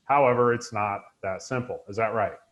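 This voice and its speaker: A man's voice: on the low side, normal speed, highs cut off. A man in his forties hosting a live webinar over a video call, upbeat and full of fillers. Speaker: host. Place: home room indoors, video call.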